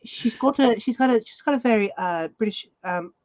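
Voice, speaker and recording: A woman chatting casually on a podcast, telling things haltingly with pauses mid-sentence. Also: on the high side, highs cut off, a loud crowd. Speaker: talk show panelist.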